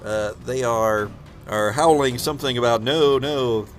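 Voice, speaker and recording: A man's voice: medium pitch, unhurried, soft background music. A man narrating an improvised tabletop game as game master, thinking aloud as he goes.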